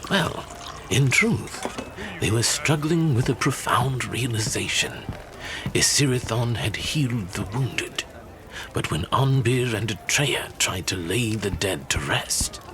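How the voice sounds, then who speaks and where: An actor playing a measured storyteller who recounts legends in solemn, deliberate, theatrical fantasy narration. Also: male voice, fairly low pitch, lively intonation, noisy background.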